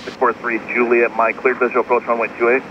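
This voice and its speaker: A man's voice: mid-range voice, little pitch movement, fast talker, lo-fi sound. A man working as an air traffic controller, giving clipped procedural instructions over aviation radio. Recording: aviation radio with loud music behind.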